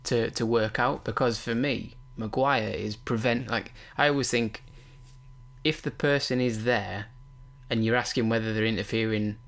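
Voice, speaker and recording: A man's voice: fairly low pitch, fairly even intonation, normal speed, vocal fry, a bit bright. A man co-hosting a podcast, casually giving his views on football. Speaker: podcast co-host, football pundit.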